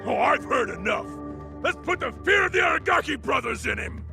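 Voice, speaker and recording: An actor playing a bullying, short-tempered male street thug, gravelly and blustering, in a game dub. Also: extremely high pitch, lively intonation, a little fast, music in the background, heavily acted. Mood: threatening rage. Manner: threatening, blustering. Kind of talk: English dub game cutscene acting.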